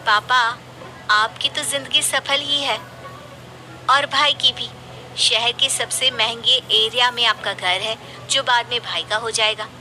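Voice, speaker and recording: Woman as a pushy, high, bright young daughter. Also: steady tone, a little slow, a little hiss or hum, poor audio. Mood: scheming, wheedling. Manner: wheedling, insistent. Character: pushy, scheming young adult daughter, greedy and sweet-talking. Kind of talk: animated moral story dialogue.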